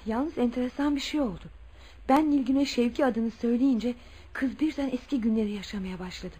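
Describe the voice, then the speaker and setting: A woman's voice: fairly high pitch, a little fast, dark tone, slightly rough. An actress playing a concerned, protective motherly woman in a radio drama, with an earnest, emphatic delivery. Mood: earnest reporting.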